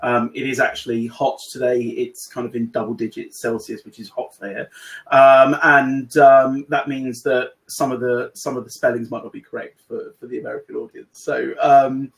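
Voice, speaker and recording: A man's voice: mid-range voice, level tone, vocal fry, rough recording. A British man from the UK, presenting as a guest expert on a webinar, talking with lots of restarts and repeated words. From United Kingdom. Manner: halting, many repeated words and fillers. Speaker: guest speaker on AI in recruiting. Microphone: video call.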